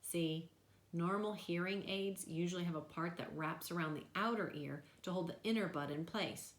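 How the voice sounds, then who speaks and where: A woman giving an expressive read-aloud of a children's novel. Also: lowish voice, normal speed, echoey room.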